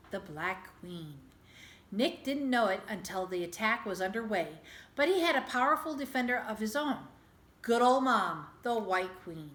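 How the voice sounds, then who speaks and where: Female storybook reader, schoolteacher, higher pitched, expressive narration, recorded with strong room echo. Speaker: teacher reading a children's book aloud. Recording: echoey room.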